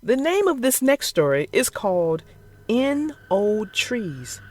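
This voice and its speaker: Animated storyteller reading to children. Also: medium pitch, soft background music. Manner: theatrical, deliberate storytelling. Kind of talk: expressive scripted read-aloud for young children.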